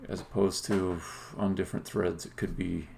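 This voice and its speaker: Gravelly, low-voiced man rambling on a stream. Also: little pitch movement, darkish tone, a little hiss or hum. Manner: rambling, opinionated, halting then emphatic. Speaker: indie game developer, streamer. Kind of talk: casual live-coding commentary.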